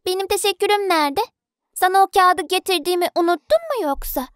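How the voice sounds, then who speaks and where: A performer voicing a sweet, childlike little girl, a younger sister who grumbles when slighted, in an animated children's cartoon. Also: extremely high pitch, fast, no echo, studio quality. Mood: grumbling.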